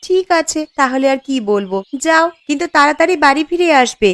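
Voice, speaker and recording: A woman voicing a high, fussing cartoon wife. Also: lively intonation, brisk, dry recording. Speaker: fisherman's wife character. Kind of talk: cartoon dialogue.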